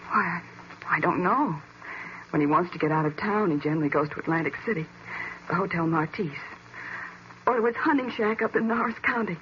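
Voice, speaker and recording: A woman playing a hesitant, trusting young niece with an American accent, halting and fragile in 1940s radio acting style. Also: lowish voice, close to an everyday voice. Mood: hesitant, upset. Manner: halting, faltering. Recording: muffled, hissy old radio recording.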